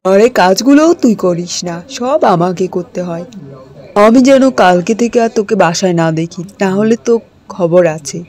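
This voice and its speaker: A cruel, scheming stepmother from a cartoon, played by a woman, threatening and menacing. Her voice is mellow and darkish, mid-pitched, with a cold edge to the threats. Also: expressive, moderate pace, lightly acted.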